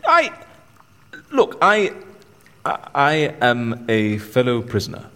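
A man's voice: mid-range voice, wildly swooping pitch, slow, pushed and bright, long reverb tail, heavily acted. A man acting in an audio drama as a polite, nosy prisoner who stammers and gets flustered as he talks his way around. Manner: polite, stammering under pressure. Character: flustered, nosy imprisoned male interviewer, talking his way around.

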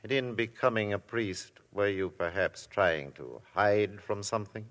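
An actor playing a sharp male Crown prosecutor in a 1950s radio drama, probing and sarcastic in his questioning. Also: lowish voice, unhurried, highs cut off. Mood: cross-examining. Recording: vintage radio broadcast, long hall reverb.

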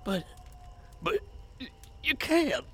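A man playing a gruff, weary, hard-drinking character in an audio drama, with a gravelly voice and a sardonic, folksy way of talking. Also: very high-pitched, huge pitch swings, takes it slowly, pushed and bright, full character voice. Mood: distraught.

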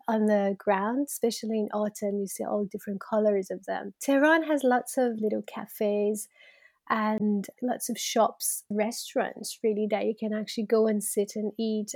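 A woman's voice: medium pitch, a little mellow, no room sound, clean studio sound. A woman in her thirties from Iran, speaking as an interview guest in a reflective, conversational way and laughing easily. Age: 30s.

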